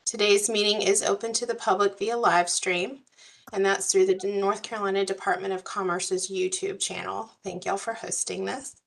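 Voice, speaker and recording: A woman, hoarse from a cold, facilitating an online work group meeting in a friendly, procedural way. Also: mid-range voice, fairly even intonation, moderate pace. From US South. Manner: friendly, procedural, uses y'all. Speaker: meeting facilitator, policy advisor. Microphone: video call.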